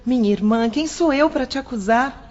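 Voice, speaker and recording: Brief: gentle older sister, warm reassurance, lo-fi audio drama sound. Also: female voice, high-pitched, normal speed. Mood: tender. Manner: tender, reassuring. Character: caring older sister, young woman, hotel servant. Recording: lo-fi with long reverb.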